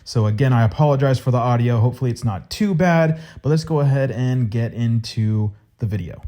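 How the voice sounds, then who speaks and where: A man with a dark, mellow voice reviews a toy for his viewers, casual and chatty. He sounds a little stuffy, getting over a cold. His delivery is eager and informal. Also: mid-range voice, brisk. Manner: excited, chatty, addresses viewers as guys. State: stuffy, recovering from illness.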